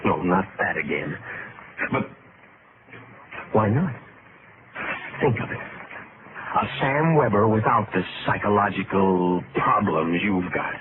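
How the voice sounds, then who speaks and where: An actor playing a meek, self-doubting lawyer with a hesitant, dryly sarcastic manner in a 1950s radio drama. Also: male voice, low-pitched, animated, slow, pauses often. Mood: self-reproachful, excited. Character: meek, self-doubting, henpecked bachelor lawyer, sardonic and nervous. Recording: noisy, tinny old-time radio recording.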